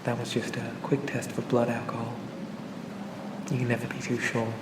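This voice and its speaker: A man playing a charming, pleasant AI assistant for a police officer in a soothing, gently reassuring ASMR roleplay. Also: medium pitch, monotone, moderate pace, roomy sound, recorded from a distance, heavy background noise, natural voice. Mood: pleasant, encouraging. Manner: gentle, hesitant, reassuring, conversational.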